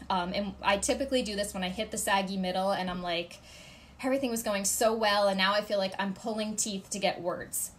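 A woman in her thirties with a congested voice, giving casual writing tips to camera from her home office, chatty and full of ums and quick check-ins. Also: medium pitch, fairly bright tone, a little hiss or hum. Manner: chatty, gestures a lot, many ums and "okay?" check-ins. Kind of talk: casual instructional vlog to camera. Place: home office with ceiling fan, indoors.

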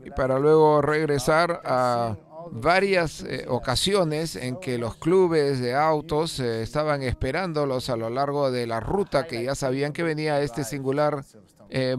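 A man narrating a car video as host in a relaxed, conversational voice-over, with frequent fillers and restarts. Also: mid-range voice, measured pace. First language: Spanish; lived in England over sixteen years. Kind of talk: unscripted voice-over commentary.

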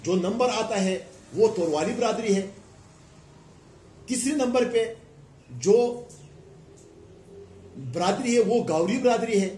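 A high-pitched man in his forties from Pakistan speaks firmly into a bank of press microphones, building his points through repeated listed phrases. The room around him rings with noticeable echo. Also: darkish tone, slight background noise. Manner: emphatic, gesturing with raised hands, speaking in listed repetitions. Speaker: former councillor giving a press conference. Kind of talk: press conference statement. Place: indoor room before a plain wall. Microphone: cluster of press microphones on a table. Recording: echoey room.

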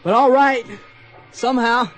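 An actor playing an earnest, gentle young farm man in a radio western, plain-spoken and sincere. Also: very high voice, steady tone, a little slow, faint music behind, rough recording. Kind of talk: radio drama acting.

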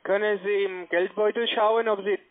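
A man giving a phone sales pitch in halting non-native German with grammar slips, as a call center agent. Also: little pitch movement. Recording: tinny band-limited phone line.

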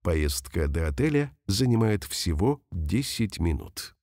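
A man reading example sentences slowly and carefully for language learners, saying each one twice. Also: deep voice, very animated, a little mellow, no room sound, studio quality. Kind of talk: slow repeated phrase reading for learners.

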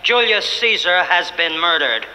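A man's voice: sits high, expressive, measured pace. A man playing an anxious, shifty Roman senator who talks in urgent gangster slang in a 1950s radio comedy. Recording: echoey, noisy 1950s radio broadcast.

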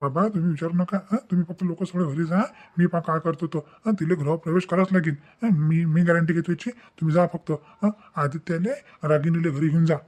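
A man's voice: on the high side, steady tone, brisk, hardly any pauses, darkish tone, close to an everyday voice. A man playing an assertive older father-in-law with a Varhadi Marathi accent in a cartoon family drama, insistent and repeating his reassurances. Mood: reassuring, determined. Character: assertive older father-in-law determined to help the young couple.

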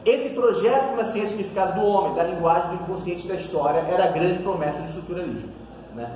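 A man in his thirties with a Brazilian Portuguese accent lectures with animated energy, his fairly high voice bouncing around a hard seminar room. He is picked up by a camera across the table, so the sound is distant, echoey and carries a long reverb tail. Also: fast talker, a little hiss or hum. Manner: animated, gesturing widely, frequent tag questions. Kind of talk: academic lecture. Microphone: far camera across the table.

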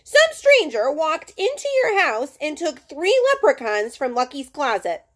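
A woman's voice: high-pitched, very animated, fairly bright tone, voice pushed up, full character voice. A woman voicing a firm but kindly male police officer in a read-aloud chapter book. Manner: measured, firm.

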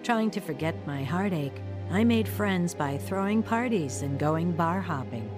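A woman's voice: lowish voice, expressive, a little slow, music in the background. An actress playing a woman soberly recounting her troubled youth, as first-person narrator of a radio drama. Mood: somber.

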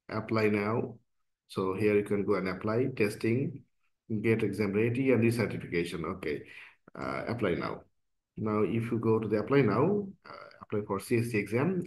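A man from Bangladesh, a native Bengali speaker, walking through an online form in a relaxed tutorial with frequent fillers and pauses. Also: lowish voice. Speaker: instructor. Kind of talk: screen-recorded tutorial walkthrough.

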